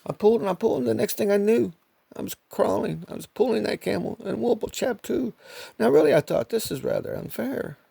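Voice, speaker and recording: An older man reading a children's story like a grandfather, animated and rambling, repeating phrases and ad-libbing, with a gravelly, husky voice. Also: high-pitched, brisk, dry recording.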